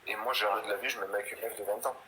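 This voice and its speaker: A man's voice: lowish voice, fairly even intonation, very fast, heavy background noise. A man in his thirties hosting a live chat, questioning his guest and stammering as he pushes back. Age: 30s. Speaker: live stream host. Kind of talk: casual chat.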